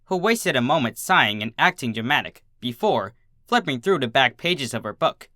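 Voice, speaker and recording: A narrator reading fan fiction aloud in an expressive storytelling style. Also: mid-range voice, moderate pace, no room sound, studio quality.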